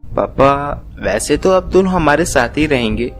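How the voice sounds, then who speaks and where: A man playing a greedy, smooth-talking young son in a Hindi moral story. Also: fairly high pitch, lively intonation, a little slow, faint music behind. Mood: coaxing.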